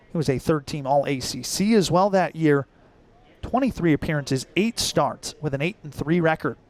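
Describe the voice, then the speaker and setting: A man's voice: fairly high pitch, a little mellow. A young American man calling baseball live as a radio play-by-play announcer, in clipped, descriptive phrases. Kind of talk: live sports commentary.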